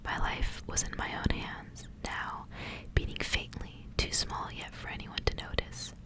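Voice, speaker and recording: Female ASMR reader for literary fiction. Whispers throughout, breathy and gentle, narrating in short phrases. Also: unhurried. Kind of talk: ASMR whispered storybook reading.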